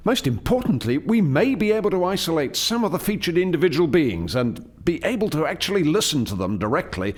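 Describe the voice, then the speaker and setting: Radio play lead: male actor as proud alien project scientist, formal and articulate, very lively pitch movement, mid-range voice. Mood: eager, welcoming. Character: male alien chief scientist, eager, proud, explaining his experiment. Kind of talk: radio play dialogue, expository.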